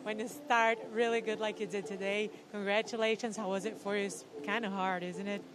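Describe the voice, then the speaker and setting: A woman's voice: mid-range voice, level tone, brisk, a crowd in the background. A woman with a Brazilian Portuguese accent working as a field reporter, asking upbeat, encouraging questions. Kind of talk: on-site interview.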